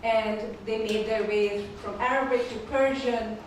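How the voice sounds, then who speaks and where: Slow poet reading in an echoey hall. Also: female voice, a little high, steady tone, distant mic, slight background noise. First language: Urdu. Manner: slow measured recitation, repeating each first line. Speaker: featured poet. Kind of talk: poetry reading with spoken introductions. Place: performing arts venue.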